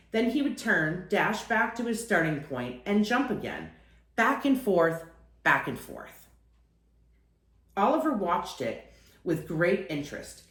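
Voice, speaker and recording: A woman reads a picture book aloud for young children. Her delivery is expressive and animated, with plenty of rise and fall in pitch. The recording has a noticeable room echo around her voice.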